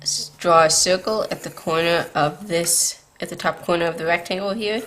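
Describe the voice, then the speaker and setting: A woman's voice: lowish voice, normal speed, a bit bright. A woman hosting a drawing tutorial, explaining patiently step by step, her voice tired and scratchy from a late night. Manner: patient, beginner-friendly explaining.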